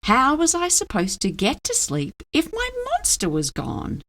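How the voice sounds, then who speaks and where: A woman narrating a children's story aloud in a dramatic, expressive way. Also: sits high, a little slow. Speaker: storybook reader.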